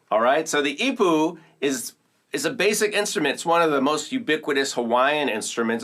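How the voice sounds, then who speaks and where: A man's voice: medium pitch, fairly bright tone. A man from Oakland, California, hosting a percussion tutorial and explaining instruments to viewers in an upbeat, enthusiastic way. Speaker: percussion teacher and host. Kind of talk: casual demonstration tutorial.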